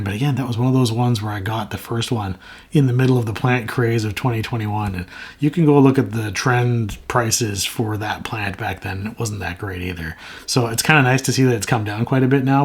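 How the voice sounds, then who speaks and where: A man's voice: on the low side, gravelly, breathy edge. A man with a sore throat, chatting casually and frankly to camera as the host of a plant channel. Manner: rambling, frank, self-mocking.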